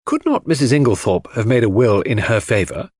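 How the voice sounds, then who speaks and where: A man voicing an earnest, puzzled gentleman, a detective's trusting friend, with an eager, questioning manner. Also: mid-range voice, animated, a little fast, breathy edge, no room sound. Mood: doubtful.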